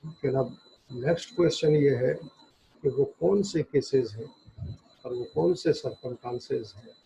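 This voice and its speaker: A man's voice: medium pitch, a little slow, gravelly, mellow, husky. A man giving an explanatory law lecture, methodically setting out points in short separate phrases and switching between Hindi and English. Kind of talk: explanatory lecture, reading and explaining legal text. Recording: dull, muffled lo-fi recording.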